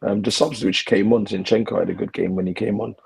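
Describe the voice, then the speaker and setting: Deep, gravelly-voiced man, hoarse. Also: fairly even intonation, brisk. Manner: laid-back, defensive of his team. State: slightly losing his voice. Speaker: co-host, football fan. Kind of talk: casual football chat.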